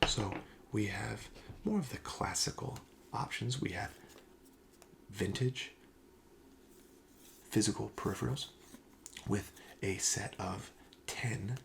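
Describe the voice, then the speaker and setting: A soft-spoken ASMR host speaking gently, often near a whisper, in the polite, formal patter of a shop clerk. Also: mid-range voice, slow pace, gravelly, pauses often, slight background noise.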